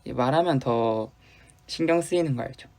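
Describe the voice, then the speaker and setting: A man's voice: mid-range voice, moderate pace. A young man from Busan with a light Busan accent, chatting casually with fans on a livestream and answering their comments. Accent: Busan Gyeongsang Korean, light. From Busan, South Korea. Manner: chatty, loose and playful, with sudden drops to quiet murmurs. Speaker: idol hosting a fan livestream.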